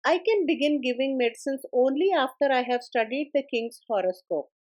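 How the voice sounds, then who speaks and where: A woman voicing a calm, cunning male yogi healer in a storybook tale, with solemn, measured statements. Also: high-pitched. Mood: grave.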